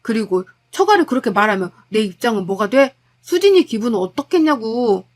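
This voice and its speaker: A woman's voice: fairly high pitch, very animated, a little fast. A woman voicing an exasperated, blunt husband caught in a family quarrel. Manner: blunt, irritated. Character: exasperated young married man, blunt.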